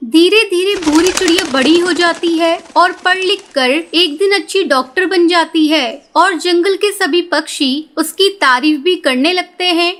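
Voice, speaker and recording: A woman's voice: very high voice, measured pace, few pauses, fairly bright tone. A woman narrating a scripted children's story.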